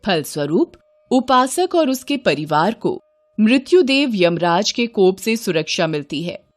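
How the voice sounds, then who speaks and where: A woman reading a scripted devotional folk tale in a measured, expressive storytelling manner. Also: mid-range voice. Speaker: storyteller, narrator.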